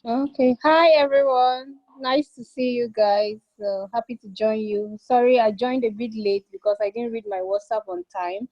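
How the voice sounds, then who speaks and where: A woman's voice: fairly high pitch, steady tone, normal speed, few pauses, lo-fi sound. A woman from southern Nigeria, a lawyer and entrepreneur, introducing herself in a friendly, chatty way on a video call. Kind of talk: self-introduction.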